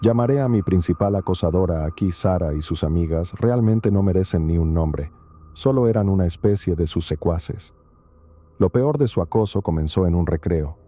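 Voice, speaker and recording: A man narrates a first-person horror story in a calm, steady reading. His voice sits low. The tone is very dark and mellow. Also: normal speed.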